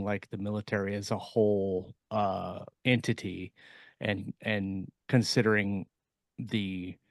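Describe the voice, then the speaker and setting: Male panel podcaster, lowish pitch, very dry sound, casual and hesitant delivery. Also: no room sound. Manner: hesitant, halting, circles around his point. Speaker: podcast co-host.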